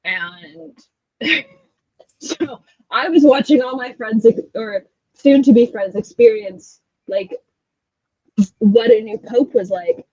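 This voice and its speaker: A woman's voice: fairly high pitch, expressive, slow pace, pauses often, a little mellow, no room sound, highs cut off. A woman hosting a casual chat, telling stories with wry asides. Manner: chatty storyteller with wry asides. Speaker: host.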